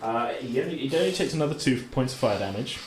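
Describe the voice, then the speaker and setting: A man's voice: mid-range voice, expressive, fast, a little hiss or hum. Man game-mastering in an echoey room. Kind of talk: casual narration of a tabletop game. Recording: roomy, echoing home recording.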